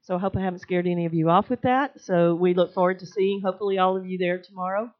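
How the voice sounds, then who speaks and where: A woman's voice: on the low side, steady tone, quick pace, dull audio. An older woman speaking as a guest at a congregation, describing a healing workshop in a friendly, chatty way with fillers and restarts. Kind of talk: sermon-style talk to a congregation. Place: church sanctuary.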